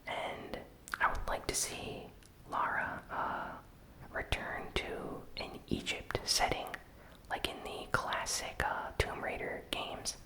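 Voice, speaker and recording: A gaming news host delivering an ASMR recap in a soft, unvoiced whisper, with pauses between short phrases. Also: rough recording. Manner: slow, deliberate, pausing between short phrases.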